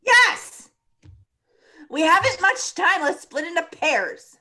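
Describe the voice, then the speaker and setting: A woman playing a blustering, pompous colonel in a comedy table read, forceful and exasperated. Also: very high voice, sing-song, takes it slowly, full character voice. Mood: blustering, decisive. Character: blustering military colonel, impatient, suspicious and pompous.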